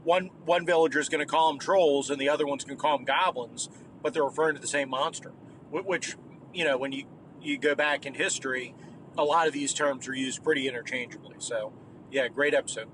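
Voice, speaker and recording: A man leaving a voicemail for a gaming podcast, talking haltingly with many restarts and tag questions. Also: mid-range voice, background noise. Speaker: caller. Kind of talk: voicemail message.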